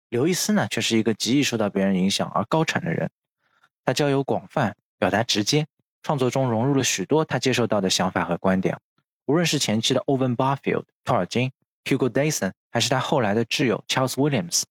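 A man's voice: mid-range voice, no echo. A man hosting a podcast, narrating literary history from a script in a bookish, explanatory manner. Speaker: podcast host. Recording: music under the voice.

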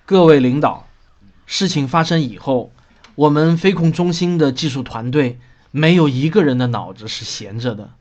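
A man's voice: a little high, moderate pace, darkish tone, dull audio, close to an everyday voice. A man playing an earnest flight control engineer in an audio drama, formal and a little defensive. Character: earnest male flight control technical staffer.

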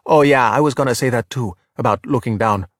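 A man's voice: medium pitch, fairly quick, no echo, studio quality, close to an everyday voice. A man voicing a cocky, boastful boy, breezy and overconfident, in a children's audiobook. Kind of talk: audiobook character voice in a children's story.

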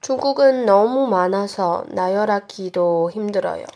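A woman with a hoarse voice from a cold, co-hosting a casual language podcast and asking playful questions. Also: mid-range voice, unhurried, a little mellow. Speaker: co-host, Korean teacher.

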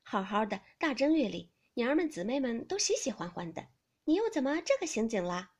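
A woman voicing a gentle, conciliatory young maidservant in an audiobook of a classical Chinese novel. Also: on the high side, very animated, brisk. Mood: coaxing, concerned.

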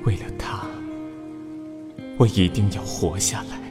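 Actor as lovestruck young warrior, low voice, regretful diary monologue, heavy reverb, prominent music. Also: male voice, steady tone, a little slow, lightly acted. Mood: regretful, desperate. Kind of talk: audio drama monologue. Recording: long reverb, music under the voice.

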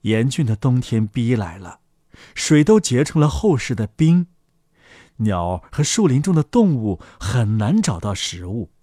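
Man telling children's stories with lively, swooping intonation. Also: medium pitch, a little slow, no room sound, clean studio sound. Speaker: children's story narrator and host. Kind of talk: expressive scripted fairy-tale narration.

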